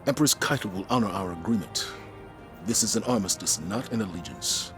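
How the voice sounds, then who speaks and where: An actor playing a grave military commander, speaking in a measured, authoritative way. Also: male voice, low-pitched, expressive, music in the background.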